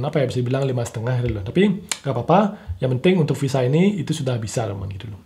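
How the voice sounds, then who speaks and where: A young man from Indonesia chats to his viewers at a quick clip. His voice is mellow and dark, and he rambles with frequent fillers and friendly asides. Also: mid-range voice. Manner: rambling, many fillers and "teman" tags. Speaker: programming channel host. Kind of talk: casual talk to camera.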